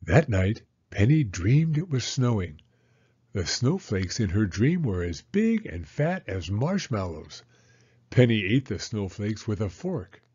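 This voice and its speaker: A man narrating a children's read-aloud story in an expressive, deliberate manner. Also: on the low side, slightly rough, highs cut off.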